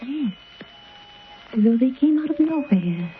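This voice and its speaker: A woman's voice: sits high, expressive, a little slow. Awed lady companion, tinny recording. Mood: awed, questioning. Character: awed, curious lady companion of a mysterious gentleman. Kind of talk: 1930s radio mystery acting. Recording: tinny, noisy old radio recording.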